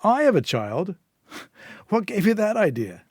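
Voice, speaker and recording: A menacing gangster woman from an audio short story, acted out by a man. The voice is pushed higher and hits words hard, sneering and taunting with a volatile temper. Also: big pitch swings, measured pace, no room sound, clean studio sound, heavily acted. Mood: taunting, furious. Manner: taunting, sweary, emphatic. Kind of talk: dramatised story reading.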